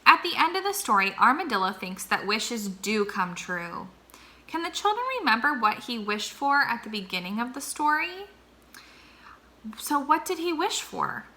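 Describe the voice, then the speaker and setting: Teacher lady reading a picture book aloud, slow, bouncy, bright voice. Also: fairly high pitch. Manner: slow, expressive and warmly animated.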